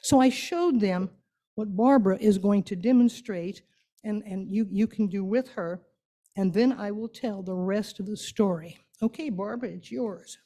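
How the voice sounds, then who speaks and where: A woman addresses a group in a relaxed, soft-edged voice. She is conversational and playful, coaxing people along as an informal speaker would. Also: medium pitch, normal speed. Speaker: guest speaker leading an interactive church talk. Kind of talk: informal talk to a congregation.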